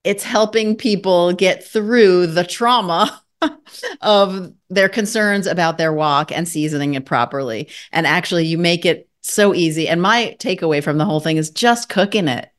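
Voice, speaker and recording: A woman's voice: lowish voice, moderate pace. A woman hosting an interview, asking questions and praising her guest with enthusiasm. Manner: enthusiastic, effusive. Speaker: host. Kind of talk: interview podcast chat.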